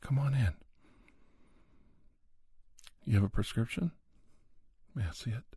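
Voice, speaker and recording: A man speaking softly in an ASMR monologue, in a halting, reflective manner, as a podcast host. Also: medium pitch, big pitch swings, slightly rough, no echo, clean studio sound. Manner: slow, halting, reflective delivery. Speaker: podcast host playing a conversation counselor. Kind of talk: soft-spoken ASMR monologue.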